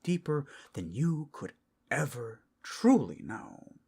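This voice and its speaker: A man playing an obsessive, lovesick captor in an intimate roleplay ASMR, halting and often whispering, both tender and unsettlingly intense. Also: fairly high pitch, very animated, exaggerated voice. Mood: creepy, doting. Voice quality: breathy, husky, often whispering. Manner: halting, swinging from hushed tenderness to giddy intensity. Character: obsessive, lovesick male captor, creepy and doting.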